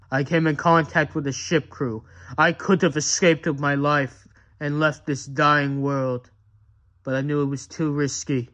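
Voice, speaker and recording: Man voicing a slow, haunted robot scientist. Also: medium pitch, a bit bright, lightly acted. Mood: grave, resigned. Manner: halting, haunted, with repeated phrases and pauses. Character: shaken robot scientist, male, recounting a horror, fearful. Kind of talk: analog horror audio log, dramatic monologue.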